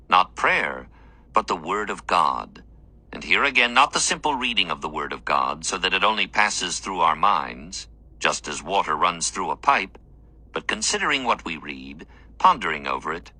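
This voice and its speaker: Deep-voiced man, bright and forceful devotional reading. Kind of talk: scripted devotional reading of a written text.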